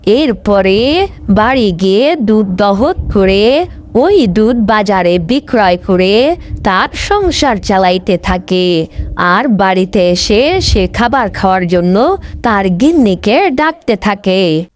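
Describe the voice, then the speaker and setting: A woman's voice: medium pitch, lively intonation, slow pace. A woman telling a folk tale as a scripted storyteller.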